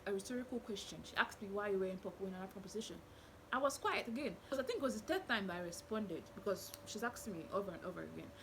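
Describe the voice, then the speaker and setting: A young woman with a Nigerian accent, telling stories to camera in a small bedroom with animated gestures. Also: medium pitch, slight background noise, low-quality recording. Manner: animated, expressive, indignant storytelling with hand gestures. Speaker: vlogger. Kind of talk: storytelling to camera. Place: small bedroom with curtain backdrop.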